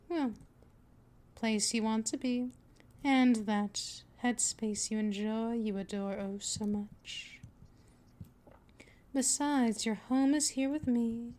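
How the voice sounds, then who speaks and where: Casting: actress as a possessive female vampire mistress for ASMR audio, higher-pitched, very unhurried, hypnotic and dominant. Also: darkish tone. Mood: patient, coaxing. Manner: slow, coaxing, soothing, often drifting into whispers. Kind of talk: scripted ASMR roleplay, hypnotic monologue.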